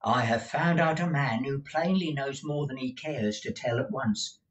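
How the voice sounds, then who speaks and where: A reader voicing an agitated, eccentric older male clerk who stammers and pleads in a slow audiobook reading. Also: medium pitch, far from the mic, dull audio, lightly acted. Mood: secretive. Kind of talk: audiobook character voice.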